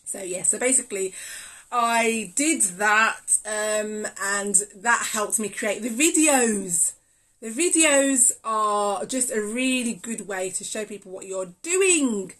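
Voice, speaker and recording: A woman chatting casually to viewers on a phone live stream, excitable and full of ums, reacting loudly to comments. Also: medium pitch, slow pace. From England. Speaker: small business owner hosting a live stream. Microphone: phone on a tripod rig.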